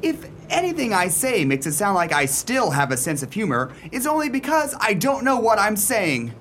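A man's voice: high-pitched, very animated, normal speed, fairly bright tone, a little hiss or hum. Man playing miserable, sarcastic young college man. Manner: dry, exasperated complaining. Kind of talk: radio play acting.

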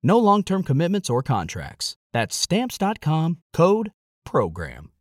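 A man's voice: on the high side, wildly swooping pitch, takes it slowly, no echo, clean studio sound. A man reading a scripted radio ad in an upbeat, punchy sales delivery. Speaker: ad announcer.

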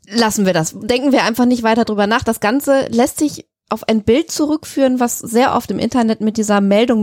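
A woman's voice: medium pitch, a little mellow, dry recording, clean studio sound. A woman explaining things in casual chat as a podcast co-host.

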